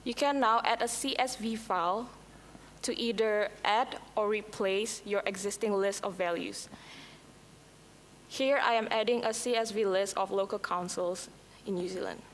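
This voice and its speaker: A woman whose first language is Indonesian, presenting a scripted software demo at a conference, with a few slips and restarts. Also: mid-range voice, fairly even intonation, moderate pace, slight background noise. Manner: reads prepared lines, occasional slips and restarts. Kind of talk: scripted product demo talk. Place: conference hall. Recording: long hall reverb, faint ambience.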